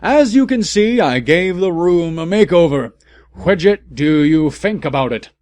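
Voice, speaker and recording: A man's voice: a little high, animated, unhurried, darkish tone, no room sound, pitched above the performer's own voice. A man voicing a cheerful old wizard headmaster who is showy and pleased with himself, in a comic fan fiction reading.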